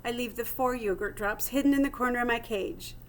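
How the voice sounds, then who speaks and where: A woman plays a little male hamster diarist from a children's storybook. The voice sits fairly high. He is plucky and dramatic, telling his tale with earnest, theatrical expression. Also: a little fast, a little hiss or hum, natural voice. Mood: gloomy, melodramatic. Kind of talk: storybook read-aloud in first person.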